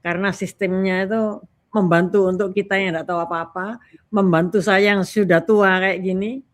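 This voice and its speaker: Woman in her 60s, Indonesian accent, medium pitch, casual guest, chatty, colloquial phrasing. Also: steady tone, normal speed. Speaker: talk guest. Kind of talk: casual chat.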